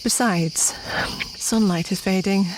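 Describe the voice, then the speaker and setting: A polite, sensible woman of a space crew, played by an actress, formal and precise in her wording. Her pitch is average. The audio drama scene has clear insect noise behind her. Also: slow, lightly acted. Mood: weary, composed. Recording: clear background ambience of jungle insects.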